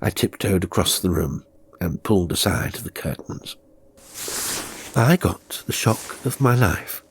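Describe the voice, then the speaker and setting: A man narrating a horror story as an audiobook reader, in a deliberate, suspenseful and theatrical way. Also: deep voice, expressive, vocal fry, a little hiss or hum. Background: faint music.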